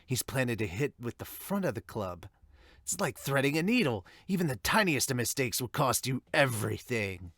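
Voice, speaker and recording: Guy doing a worked-up golf announcer from a manga, pitch jumps when shocked, dry close sound. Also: very animated, normal speed, a bit bright, slightly rough, no echo, full character voice. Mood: tense, excited. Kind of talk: sports commentary.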